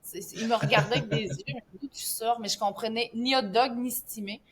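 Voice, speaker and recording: A woman's voice: on the high side, steady tone, fairly quick, crowd noise. A woman from southern France, a guest health coach, telling her story in a casual podcast, with frequent laughs and fillers. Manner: warm storytelling, laughs often, many fillers.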